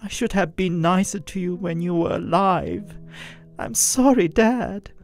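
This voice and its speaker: A man's voice: high voice, takes it slowly, creaky, dark tone, soft background music, voice pushed up, exaggerated voice. A man voicing a distraught young woman who speaks in a pleading, emotional way. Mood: tearful.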